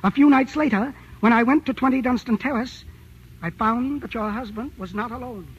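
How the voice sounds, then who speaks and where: Timid aging chemist, high-pitched voice, old radio. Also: male voice, moderate pace, breathy edge, exaggerated voice. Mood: grave confession. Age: older. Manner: formal, precise, methodical phrasing. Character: timid, fearful aging male chemist confessing crimes. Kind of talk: 1940s radio drama acting. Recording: muffled, very noisy old radio recording.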